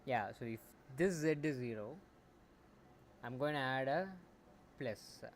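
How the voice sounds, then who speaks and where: A man streaming as a game developer, thinking aloud while he codes, often muttering and trailing off into soft asides, on a quiet home mic. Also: unhurried. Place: home room. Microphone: desktop mic. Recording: faint background noise, low home mic.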